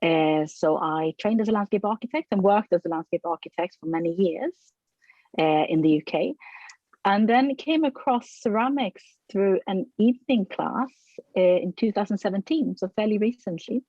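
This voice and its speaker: A middle-aged woman from Sweden, a potter answering interview questions thoughtfully, with frequent pauses, fillers and restarts. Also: mid-range voice, no echo, dull audio. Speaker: ceramic artist, interviewee.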